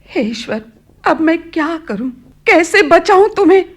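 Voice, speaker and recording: A woman's voice: extremely high pitch, animated, unhurried. A woman acting an anxious, devoted mother in a radio play, coaxing and pleading with worry. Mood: distressed. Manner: coaxing, imploring.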